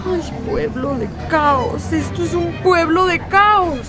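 A nosy, indignant village lady in a radio drama. Her voice is very high, emphatic and demanding, with music clearly behind it. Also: slow, crowd noise. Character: indignant gossipy townswoman, bossy and scandalized.

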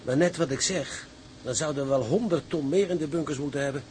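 A man acting as a wry, practical tugboat officer in a radio play. His voice sits a little high for a man, and he talks bluntly and doubtfully. Faint noise lies behind him. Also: a little fast, a little mellow, lightly acted. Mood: grave, practical. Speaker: actor in a radio drama. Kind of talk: Dutch audio drama acting.

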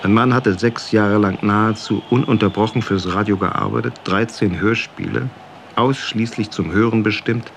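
A man with a fairly low voice reads a written anecdote. The delivery is scripted and literary, over clear ambient noise. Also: fairly even intonation, normal speed.

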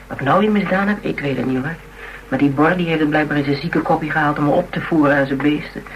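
A woman's voice: deep voice, quick pace, highs cut off, natural voice. An actress playing a stubborn, feisty woman in a radio play, talking bluntly and wryly. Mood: rattled, irritated. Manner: colloquial, blunt, wry. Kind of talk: radio drama acting. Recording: old radio play, background noise.

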